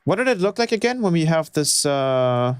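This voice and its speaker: A man with a slightly high, very animated voice muses aloud through a casual livestream tutorial. He is hesitant, feeling his way as he goes, while synthesizer music plays loudly behind him. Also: moderate pace. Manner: hesitant, musing while experimenting.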